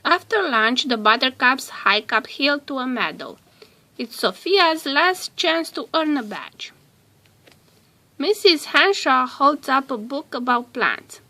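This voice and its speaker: Casting: female storybook narrator, bright tone, unhurried and measured delivery. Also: high-pitched, expressive. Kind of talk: scripted children's storybook read-aloud.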